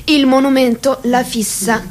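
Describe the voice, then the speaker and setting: A woman with a high-pitched voice narrates a scripted radio drama. She tells the scene as its narrator in a dramatic theatrical reading. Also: fairly even intonation, measured pace. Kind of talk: scripted dramatic narration, also voicing characters' lines.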